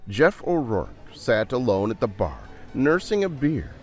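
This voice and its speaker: A man's voice: mid-range voice, big pitch swings, a little slow, soft background music. A man narrating a dramatised storybook adventure in a theatrical, dramatic way.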